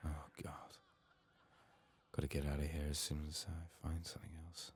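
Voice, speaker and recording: Very deep, soft-spoken male gamer. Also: little pitch movement, normal speed. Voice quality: soft, hushed, breathy in whispers. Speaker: gaming streamer. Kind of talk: soft-spoken ASMR game playthrough, often whispering.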